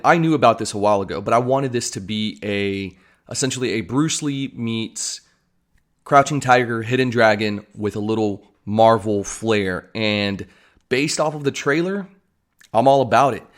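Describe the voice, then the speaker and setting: A man hosts a solo podcast in an informal, chatty way. His voice sits at an average pitch, and he speaks with enthusiasm, addressing listeners directly like friends. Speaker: podcast host. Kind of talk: casual solo podcast chat about pop culture.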